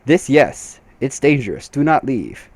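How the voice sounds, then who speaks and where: A man's voice: medium pitch, sing-song, measured pace, no room sound. A man voicing a know-it-all young male guide who explains things matter-of-factly in a comic fantasy story. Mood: instructive. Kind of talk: comedic storybook character reading.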